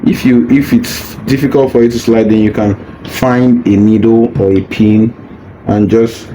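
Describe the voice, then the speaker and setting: A man's voice: mid-range voice, fairly even intonation, a little slow, dark tone, poor audio. A man patiently walking through a hands-on unboxing tutorial, casual and reassuring, repeating his steps as he goes. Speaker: product demonstrator. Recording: faint background noise.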